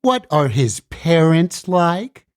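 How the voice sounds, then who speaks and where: Actor as a dramatic, urgent banned book puppet, swinging pitch. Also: big pitch swings, slow, a little mellow, dry recording, studio quality. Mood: probing, dry. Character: alarmed, bitter burned banned book, puppet character. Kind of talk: theatrical musical puppet show acting with singing.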